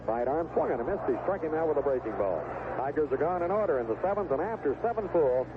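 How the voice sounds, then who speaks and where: A man's voice: on the high side, lively intonation, fast, narrow, tinny audio. A man calling baseball play-by-play live on the radio, heard through a noisy old broadcast recording with stadium ambience behind him. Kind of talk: live radio sports commentary.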